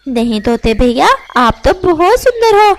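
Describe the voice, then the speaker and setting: A woman voicing a sensible, gentle female little bird who soothes and reasons in a children's cartoon story. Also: high-pitched, very animated, unhurried, very noisy, voice pushed down, full character voice. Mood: concerned, reasoning. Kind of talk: animated children's moral story dialogue.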